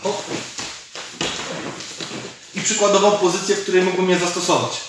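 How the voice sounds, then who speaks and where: A man's voice: sits high, level tone, normal speed. A man in his thirties, a martial arts coach, giving hands-on instruction and explaining techniques as he demonstrates them. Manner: explains while demonstrating moves. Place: large indoor gym with fighting cage. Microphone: camera mic from several metres away. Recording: distant mic, very echoey hall, noisy.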